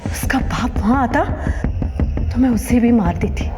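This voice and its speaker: An actress playing a cold, bitter, vengeful woman in a TV crime serial, speaking deliberately with pauses. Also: fairly high pitch, close to an everyday voice. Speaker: actor in a TV crime drama. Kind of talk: Indian TV crime serial acting. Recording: music playing under the dialogue.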